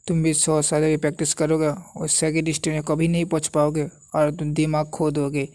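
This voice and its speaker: Man as a boastful old immortal master, mellow. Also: a little high, completely flat delivery, normal speed, close to an everyday voice. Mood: warning. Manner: boastful, condescending. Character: proud, scolding old immortal martial arts master spirit.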